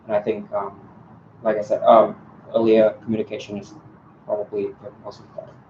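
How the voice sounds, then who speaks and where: Hesitant low-voiced young man, echoey. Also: completely flat delivery, fairly quick, a little hiss or hum. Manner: hesitant, many ums and restarts. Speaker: election candidate. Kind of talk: answering candidate questions. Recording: echoey room, dull lo-fi sound.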